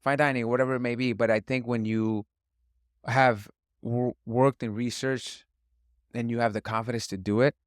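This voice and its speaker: A man from Northern California speaking as a chef in a casual interview, in an earnest, reflective and halting way. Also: mid-range voice, steady tone, smooth, no room sound, clean studio sound. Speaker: chef and restaurant guest.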